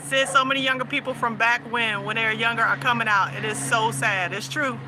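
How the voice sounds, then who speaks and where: A woman's voice: fairly high pitch, steady tone, fairly quick, over music, rough recording. A woman with a Southern US accent hosting a casual chat, laughing often and teasing her audience. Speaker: host.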